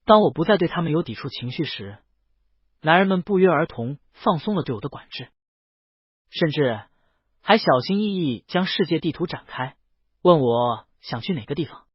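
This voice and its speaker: A man's voice: fairly high pitch, expressive, moderate pace, slightly rough, no echo, highs cut off. A man narrating a scripted first-person story as an audiobook reader.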